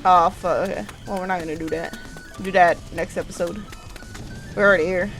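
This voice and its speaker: A young woman doing casual, sweary, excitable gameplay commentary, often repeating phrases. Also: lowish voice, fairly quick, poor audio. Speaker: gamer. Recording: game music behind the voice.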